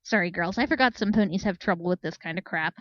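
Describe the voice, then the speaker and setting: A woman's voice: lowish voice, fast talker, no room sound, lightly acted. A brash pegasus mare from a storybook, voiced by a woman. She is cheeky, bawdy and blunt, with a matter-of-fact way of talking, recorded dry and slightly muffled. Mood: amused, teasing.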